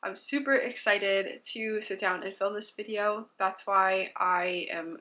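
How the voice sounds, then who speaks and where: A woman's voice: mid-range voice, fairly even intonation, slow, bright, forceful tone. A woman in her thirties, congested from a cold, chatting casually to camera as a homeschooling mom, rambling with frequent ums.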